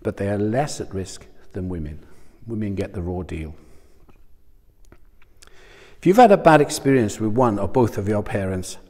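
An older man in his sixties gives a conversational sermon into a lapel mic, his voice sitting low. He often turns to the listeners with questions. A long reverb from the room trails behind his words. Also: expressive, normal speed, pauses often, a little mellow. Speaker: church speaker. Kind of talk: sermon talk. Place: small church studio room in front of a screen.

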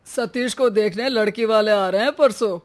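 An old father from an animated cartoon, played by a performer, upright and easily exasperated. He chides and moralizes like the head of the household. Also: mid-range voice, moderate pace, close to an everyday voice. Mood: teasing. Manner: chiding, moralizing. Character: principled, exasperated elderly father, grey-haired family patriarch.

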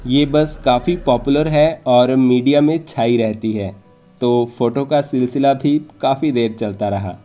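A man's voice: mid-range voice, unhurried, dark tone, faint music behind. A man narrating a travel vlog from a script, explaining things in an informative, orderly way. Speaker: travel vlogger and host.

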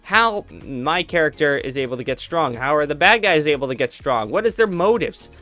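A man's voice: fairly high pitch, animated, normal speed, sharp tone, soft background music. A young man chatting casually to his viewers, rambling with many fillers and restarts. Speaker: YouTube roleplay creator.

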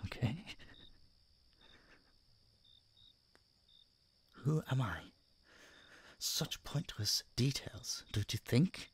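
A man playing an obsessive, doting yet menacing masked kidnapper in an audio roleplay, speaking softly and tauntingly to his captive. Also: mid-range voice, expressive, slightly rough, heavily acted. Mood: possessive, playful. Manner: soft, taunting, condescending, drawn-out murmurs and whispers. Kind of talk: intimate audio roleplay monologue addressed to the listener.

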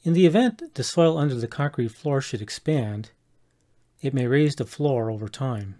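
Middle-aged man, mid pitch, measured scripted narration for a home-improvement tutorial. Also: lively intonation, mellow. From Colorado, USA. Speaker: homeowner explaining a DIY project.

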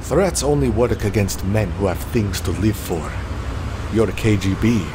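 A man's voice: fairly low pitch, lively intonation, measured pace, noisy background. A man voicing a proud, bitter young genius villain, formal, imperious and threatening, in a comic audio drama. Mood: cold, defiant. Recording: music bed under the voice.

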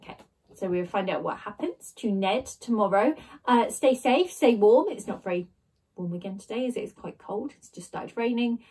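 Woman teacher reading kids book at home, very expressive, bit husky. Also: mid-range voice, moderate pace. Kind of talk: expressive storybook reading with casual chat. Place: small bedroom at home, indoors. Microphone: device camera at desk distance.

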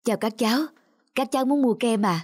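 A woman's voice: on the high side, fairly quick, darkish tone, breathy edge. Woman voicing a patient ice cream man. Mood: welcoming. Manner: patient, explanatory. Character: kindly middle-aged male ice cream seller, patient, respectful. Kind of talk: cartoon dubbing. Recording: music behind the voice.

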